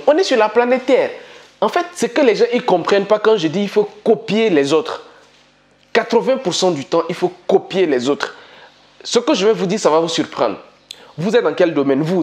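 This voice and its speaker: A male entrepreneur giving an emphatic motivational business talk to camera, full of repetition and rhetorical questions to viewers. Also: a little mellow. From Africa. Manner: swings between high emphatic bursts and low asides. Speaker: entrepreneur and channel host.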